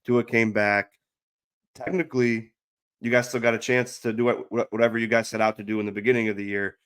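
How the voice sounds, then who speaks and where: A hoarse man hosting a casual football talk show, chatty and friendly as he steers the conversation. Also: medium pitch, little pitch movement, fairly quick, a bit bright. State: hoarse from illness. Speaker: podcast host.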